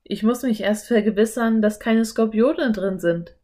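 A woman's voice: on the high side, a little slow, mellow. A woman reading a children's story, voicing a cautious, worried young boy who speaks hesitantly. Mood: wary. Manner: hesitant, wary.

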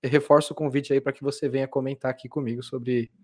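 A man's voice: mid-range voice, level tone, quick pace, darkish tone. A man hosting a podcast, asking friendly questions in a conversational way with frequent tag questions. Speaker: podcast host. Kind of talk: conversational interviewing.

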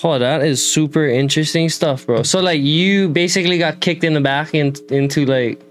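A man's voice: a little high, moderate pace. A man hosting a casual interview, slangy and laid-back. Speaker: podcast host.

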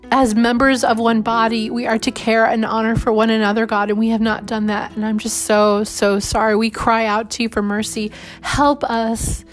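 A woman leading a spoken congregational prayer in an earnest, pleading way, often repeating "God" and "Lord.". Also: a little high. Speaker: prayer leader. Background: soft guitar music.

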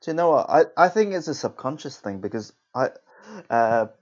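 A man hosting a casual podcast interview, speaking informally in halting, conversational phrases. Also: mid-range voice, expressive. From Chinese heritage with Hong Kong ties. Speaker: podcast host. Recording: slightly dull, lo-fi sound.

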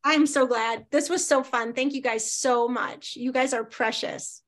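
Middle-aged woman podcast guest, high pitched, chatty and loud. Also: steady tone, a little slow, crowd noise. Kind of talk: casual podcast conversation.

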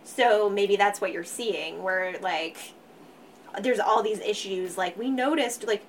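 Quiet-voiced woman a little off-mic. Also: medium pitch, takes it slowly, far from the mic, a little hiss or hum. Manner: quiet voice that gets louder when excited. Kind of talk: casual astrology chat.

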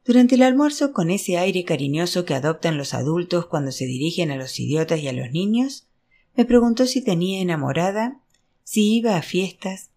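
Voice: medium pitch, darkish tone. A narrator reading literary fiction aloud as an audiobook, in a scripted storytelling style. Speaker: audiobook reader.

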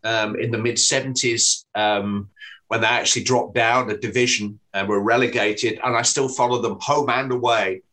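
A middle-aged man from England, a football commentator, telling stories in a relaxed interview with restarts and self-deprecating humour. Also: mid-range voice, normal speed, rough recording. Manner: chatty storyteller, frequent restarts and self-deprecating laughs. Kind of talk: relaxed anecdotal interview talk.

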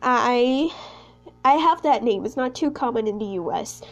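High-voiced teenage girl podcasting. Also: lively intonation, unhurried, muffled recording. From Brazil. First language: Portuguese. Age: teens, sixteen. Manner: rambling, chatty, self-conscious. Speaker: podcast host. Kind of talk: casual solo podcast chat.

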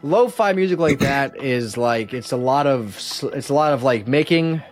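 A man chatting casually as a podcast guest, explaining in a halting, self-effacing way. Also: medium pitch, lively intonation, over music. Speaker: podcast guest, musician and composer. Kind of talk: casual chat.

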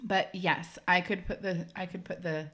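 Low-voiced woman co-host, chatty. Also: fairly even intonation, normal speed, a bit bright. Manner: chatty, explains at length with restarts. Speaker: podcast co-host. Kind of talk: casual chat.

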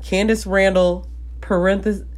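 A woman's voice: mid-range voice, little pitch movement, moderate pace, darkish tone. A woman in her thirties, an event curator who is a guest, chatting casually and correcting others in a teasing way. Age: 30s. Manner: teasing, corrects others, calls them babe. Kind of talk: casual interview chat.

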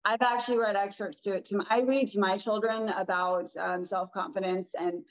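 A woman with a raspy voice, chatting as a guest in an interview, rambling and restarting her sentences. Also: mid-range voice, steady tone, moderate pace, poor audio. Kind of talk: casual interview talk.

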